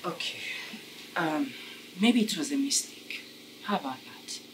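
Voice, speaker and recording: An actress playing a gentle young wife who speaks softly and pleads for calm. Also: female voice, sits low, measured pace, noisy background. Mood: apologetic. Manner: soft-spoken, pleading. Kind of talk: web drama acting.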